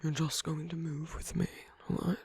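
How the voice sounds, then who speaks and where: Casting: male actor as flirtatious villain for romantic ASMR audio drama; very breathy, mellow, gently teasing, close and intimate. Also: on the high side, level tone, normal speed, dry recording, full character voice. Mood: playful, reassuring. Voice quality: breathy, soft, half-whispered. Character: suave flirtatious male villain wooing a hero at a masquerade. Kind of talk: ASMR roleplay, intimate scripted monologue.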